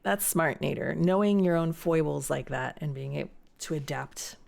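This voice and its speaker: A woman's voice: low-pitched, moderate pace, creaky, darkish tone. A young woman streaming live, chatting casually and reading out and answering viewer messages. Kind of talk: casual chat reading chat aloud.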